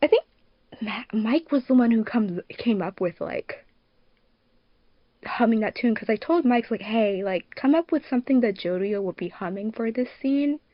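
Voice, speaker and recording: A woman's voice: fairly high pitch, animated, normal speed, dry recording, lo-fi sound. A woman co-hosting a podcast in an informal, giggly, slang-filled way, chatting casually over a remote voice call. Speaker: podcast co-host, fandub production crew member and editor.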